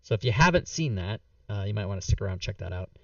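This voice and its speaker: A man's voice: on the low side, expressive, fast, creaky, a bit bright, no room sound. A man hosting a tabletop game stream, chatting casually and rambling with lots of fillers while losing his voice. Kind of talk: casual end-of-stream chat. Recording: dull, slightly distant, dry mic.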